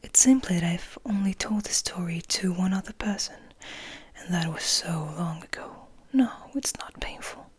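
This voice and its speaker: A woman reading as a composed, wistful male vampire in a soft, confiding, breathy whisper for an ASMR audiobook. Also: lowish voice, normal speed, no room sound. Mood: composed, reminiscing. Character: gentle, composed, wistful male vampire telling his story.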